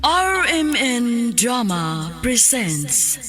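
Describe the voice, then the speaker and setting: A woman announcing a radio drama in a slow, deliberate, formal voice. She introduces the story and the person who sent it in. Faint music plays under her. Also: fairly high pitch, big pitch swings.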